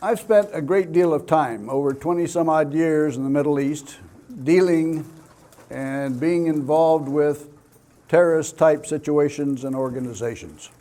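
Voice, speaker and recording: An older man giving a security talk as an expert speaker, in deliberate, measured phrases with pauses. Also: on the high side, mellow. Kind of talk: public training talk with personal anecdotes.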